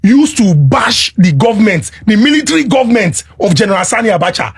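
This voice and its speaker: Nigerian man, Lagos-raised panelist, calm reasoned delivery, higher husky voice. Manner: deliberate, explanatory, repeats to hold the floor. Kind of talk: measured debate.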